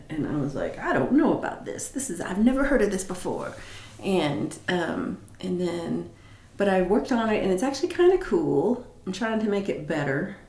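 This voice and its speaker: A woman hosting a casual livestream chat, rambling with many fillers and restarts, with a low voice. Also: a little mellow, echoey room. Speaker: livestream host. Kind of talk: casual live chat reading comments.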